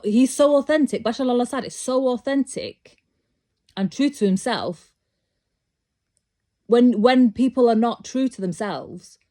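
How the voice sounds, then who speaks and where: A woman's voice: medium pitch, dark tone. A British woman talking casually to camera in a rambling opinion monologue, with many restarts, growing emphatic and insistent as she argues. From Britain. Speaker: vlogger.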